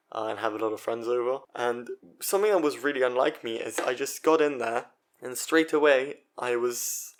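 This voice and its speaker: A young man from Israel talks alone into the mic for his podcast. His voice sits at a middling pitch, and he rambles in a casual, self-deprecating way, stopping and restarting his sentences and leaning on fillers as he goes. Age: 20s. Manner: chatty and self-mocking, many fillers and restarts. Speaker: podcast host. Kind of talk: solo podcast monologue.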